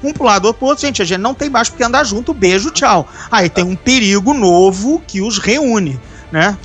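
A podcast host and novelist with a Brazilian accent, chatting casually, animated and quick to swear, often restarting sentences. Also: mid-range voice, faint music behind. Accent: Brazilian Portuguese. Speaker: author of a novel.

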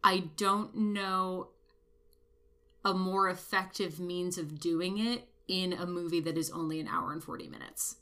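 A woman in casual movie-review chat, measured and thoughtful as she weighs both sides. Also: fairly low pitch, lively intonation, a bit bright. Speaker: film review podcast co-host.

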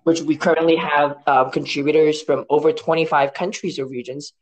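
A man from Hong Kong whose first language is Cantonese, speaking as an interview guest in a measured, reflective way with some restarts. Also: fairly high pitch, steady tone, rough recording. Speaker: guest, science story editor.

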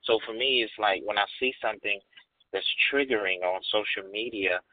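A man's voice: lowish voice, brisk, no echo. A man in his forties, a podcast guest talking candidly and emphatically, repeating phrases for stress. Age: 40s. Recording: dry tinny phone-like audio.

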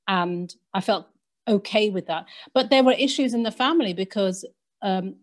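Woman, panel guest, reflective, measured, pauses to think. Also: mid-range voice. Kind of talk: reflective conversational discussion.

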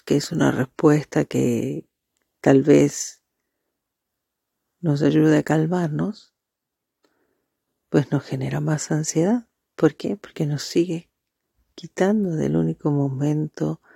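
A meditation guide speaking softly and breathily in a calming, deliberate way with marked pauses. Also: medium pitch, gravelly, slightly rough, dry recording, studio quality.

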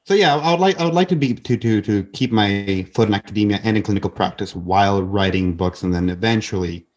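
Husky-voiced earnest young man. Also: on the low side, brisk, a little mellow. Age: 20s. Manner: earnest, many restarts and repeated words. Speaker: podcast guest. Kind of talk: casual podcast conversation.

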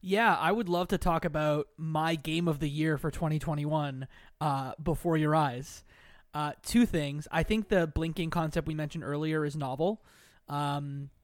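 A man's voice: on the high side, smooth, no echo, studio quality. A man chatting casually and enthusiastically as a guest in a podcast discussion.

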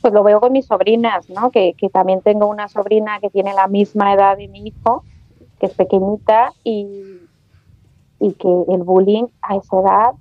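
A Mexican woman guest talking in a chatty, animated way, with colloquial slang. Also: mid-range voice, moderate pace, no room sound, dull audio, faint music behind. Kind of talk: casual podcast conversation.

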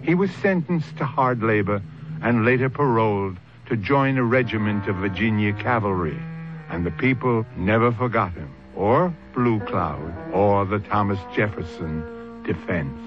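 A man's voice: on the low side, expressive, moderate pace. A man hosting a radio drama with scripted, old-time narration. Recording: dull old radio broadcast, music bed. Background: music.

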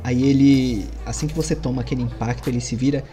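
A man's voice: medium pitch, steady tone, fast talker, dark tone. A man narrating a tabletop game as game master, in a loose, rambling way with many fillers. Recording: music under the voice.

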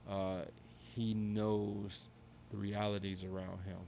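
A man in his thirties with a fairly low voice, talking things through in an earnest, reflective way. He often restarts mid-sentence and fills pauses with ums. Faint noise sits under the recording. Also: completely flat delivery, gravelly, dark tone. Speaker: podcast co-host. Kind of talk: conversational discussion.

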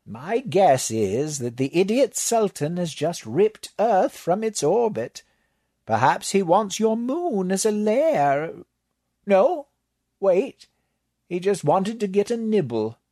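Man reads unbothered alien librarian, high and odd-toned, bone-dry delivery. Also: slow, dry recording, exaggerated voice. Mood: deadpan. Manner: dry, detached, deadpan. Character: unflappable alien male librarian in a borrowed body.

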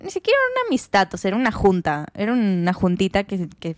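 A woman, co-hosting a podcast and telling stories in casual chat with quick asides. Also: medium pitch, wildly swooping pitch, dry recording, studio quality. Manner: chatty, storytelling with quick asides. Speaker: podcast co-host.

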